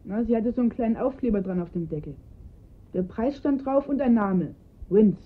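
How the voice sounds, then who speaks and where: A woman's voice: fairly high pitch, expressive, moderate pace. A woman playing an observant, earnest young boy in a radio crime drama, recounting details precisely. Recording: muffled phone-like band-limited sound.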